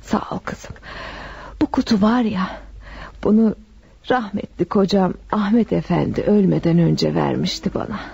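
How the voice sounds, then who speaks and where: Frail old widow, mellow creaky voice. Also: female voice, mid-range voice, normal speed, dry recording. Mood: wistful. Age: older. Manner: affectionate, sighing, pious. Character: frail old sick widow, grateful and wistful. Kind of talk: radio drama acting. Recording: dull lo-fi radio recording, music behind.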